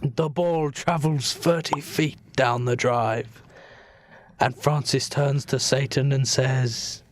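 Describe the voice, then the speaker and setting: A man narrating an improvised comic story as a podcast narrator, mock-serious and pausing for dramatic effect. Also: medium pitch, steady tone.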